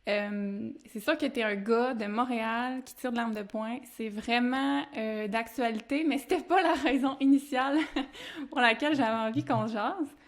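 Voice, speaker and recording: A woman's voice: a little high, normal speed. A woman with a Québécois accent hosting a podcast, asking questions in a friendly, casual way. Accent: Québécois French. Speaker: podcast host. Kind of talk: casual interview chat.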